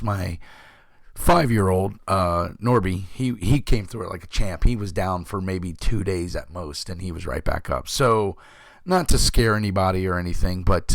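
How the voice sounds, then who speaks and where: Hoarse, gravelly-voiced man in his fifties. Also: sits low, no echo, clean studio sound. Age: 50s. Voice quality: rough, gravelly voice. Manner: rambling, many fillers and throat clearing. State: hoarse, recovering from illness. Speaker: tabletop game channel host. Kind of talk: casual chat to camera.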